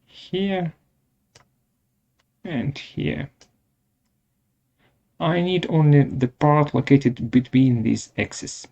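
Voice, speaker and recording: A man presenting a step-by-step software tutorial in short, halting instructional phrases, with a gravelly voice. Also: a little high, expressive, muffled recording.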